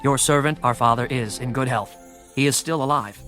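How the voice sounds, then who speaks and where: A man's voice: medium pitch, moderate pace, fairly bright tone, soft background music, close to an everyday voice. An actor playing an earnest son in a Bible audio drama, answering his elders and defending himself. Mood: respectful.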